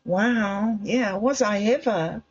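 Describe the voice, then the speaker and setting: Slow, halting woman on a livestream. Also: mid-range voice, husky, lo-fi sound. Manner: halting, reflective, with many restarts and apologies. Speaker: livestream host. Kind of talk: rambling personal livestream talk.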